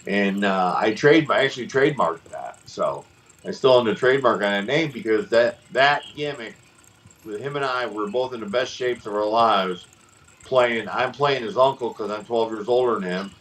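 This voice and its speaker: A middle-aged man and former wrestler hosting a podcast, telling stories in a rambling, animated way with lots of restarts. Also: on the low side, moderate pace, rough recording. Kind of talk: casual storytelling chat.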